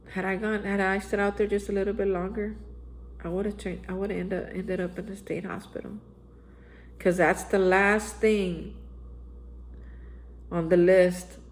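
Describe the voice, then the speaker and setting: Earnest male vlogger with a plain speaking voice; exhorting, repetitive spiritual reflection. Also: sits high. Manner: earnest, exhorting, repeats phrases and says "man". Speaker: recovery vlogger. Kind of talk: daily spiritual reflection monologue. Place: Austin, Texas area.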